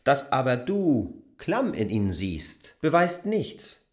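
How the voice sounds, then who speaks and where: An insistent, jealous lover in a literary radio drama. His voice sits at a middling pitch and swings expressively as he argues his case. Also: big pitch swings, takes it slowly. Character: insistent, jealous man lecturing the woman he loves.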